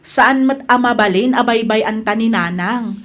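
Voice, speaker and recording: A woman's voice: on the high side, moderate pace, a bit bright, lightly acted. A woman with an Ilocano accent playing a worried younger sister in a radio drama, pleading and respectful.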